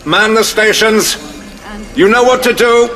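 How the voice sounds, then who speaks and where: A man's voice: high voice, measured pace, bright, forceful tone, faint music behind. An actor playing a polite, composed male general who speaks in a formal manner. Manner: formal, courteous. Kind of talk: audio drama acting.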